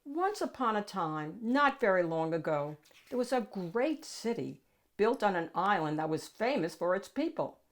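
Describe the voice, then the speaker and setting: A woman reading a picture book aloud as a storytime host, addressing young listeners with dramatic pauses and drawn-out key words. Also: medium pitch, very animated.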